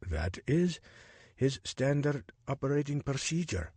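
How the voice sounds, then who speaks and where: A man's voice: medium pitch, sing-song, measured pace, darkish tone, slightly rough, no echo, pitched above the performer's own voice. A man reading a story voices a wary, formal male informant who speaks in short, disapproving lines. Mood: dry.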